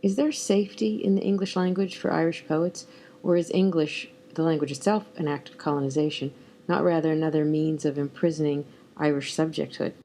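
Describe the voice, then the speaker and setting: A woman with a low, gravelly, mellow voice poses prepared questions in a measured, deliberate way. A faint hiss of noise sits under her voice. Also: steady tone. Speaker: interviewer. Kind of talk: reading prepared interview questions.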